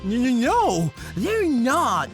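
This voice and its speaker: Smug, swooping anime classmate. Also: female voice, on the high side, huge pitch swings, takes it slowly, soft background music, heavily acted.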